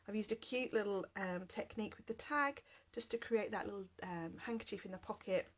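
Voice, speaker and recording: A woman in her thirties, nursing a heavy cold, teaches a live crafting class from her home. She sounds chatty and upbeat, with frequent little "um"s. She speaks at an ordinary mid pitch. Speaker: craft demonstrator. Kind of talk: live card-making class. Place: home craft room with shelves and windows. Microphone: webcam at desk, then overhead camera.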